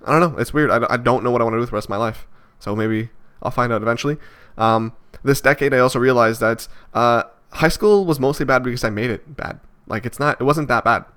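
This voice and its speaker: A man's voice: mid-range voice, fast, hardly any pauses, fairly bright tone. A man in his twenties musing aloud in a vlog, hesitant and rambling, with many fillers and restarts. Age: 20s. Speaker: vlogger. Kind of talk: reflective casual monologue.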